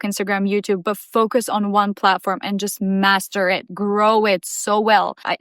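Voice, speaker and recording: A woman's voice: medium pitch, a bit bright, clear, resonant tone, no echo, studio quality. A young woman whose first language is Russian, giving an emphatic motivational talk to camera as a vlogger and repeating phrases for effect. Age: young adult.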